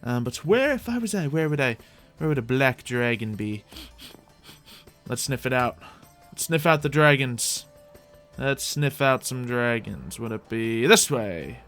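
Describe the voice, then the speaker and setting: Man, medium pitch, playful gaming host, improvised roleplay commentary, thinking aloud, music behind. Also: lively intonation, unhurried, a bit bright.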